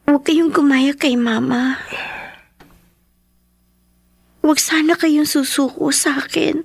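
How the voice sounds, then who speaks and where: A dutiful Filipina teenage girl in a radio drama. Her voice is high and she talks very slowly, with respect and a soft heart. The sound is close and dry. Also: husky, dry recording, heavily acted. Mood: tender pleading. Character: devoted, dutiful teenage daughter of troubled father. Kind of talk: Tagalog radio drama acting.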